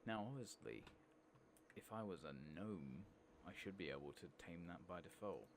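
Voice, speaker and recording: Very deep, gravelly, soft-spoken man. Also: lively intonation, normal speed, background noise. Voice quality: soft-spoken, often breathy whispering. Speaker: gaming channel host. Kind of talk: soft-spoken ASMR game playthrough commentary.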